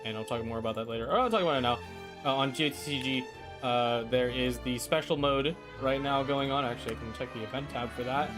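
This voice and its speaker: A man's voice: medium pitch, quick pace. A man hosting a live game stream, talking in a casual, rambling, slangy way. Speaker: livestream host, gamer. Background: game music.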